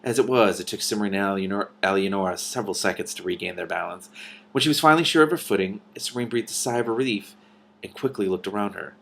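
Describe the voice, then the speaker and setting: A man hosting a read-aloud of a novel, narrating expressively with animated, informal commentary. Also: lowish voice, fast, creaky, a little hiss or hum.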